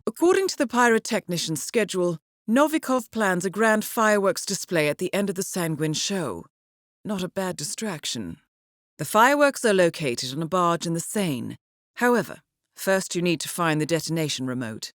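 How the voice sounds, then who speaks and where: An actress playing a cool, composed intelligence handler in a video game, briefing an assassin with articulate dry wit. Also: female voice, lowish voice, no room sound. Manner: composed, dryly witty, articulate. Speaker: mission handler.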